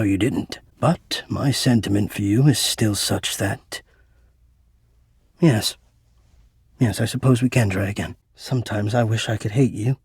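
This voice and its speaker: A man's voice: lowish voice, a little mellow, husky, no echo, studio quality, exaggerated voice. A man voicing a proud, wounded, dramatic male household climate spirit in a video game, with formal, florid, old-fashioned diction. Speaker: voice actor. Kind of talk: video game character acting.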